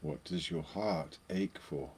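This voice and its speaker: A man leading a guided meditation, speaking in short phrases with long pauses between them. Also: extremely low pitch, a little mellow, slightly rough.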